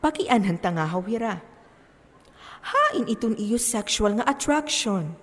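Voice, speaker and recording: A woman's voice: medium pitch, animated, measured pace, a bit bright. A woman acting an instructive lecturer in a stage play, declaiming explanations and putting questions. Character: lecturing personified character explaining gender and sexuality. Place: stage in a large hall. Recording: reverberant stage hall.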